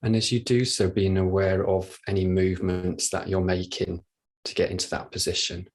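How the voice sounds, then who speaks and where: A man leading a guided body scan meditation, speaking gently and without hurry, leaving long pauses. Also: low-pitched, level tone. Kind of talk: guided meditation, soft instruction.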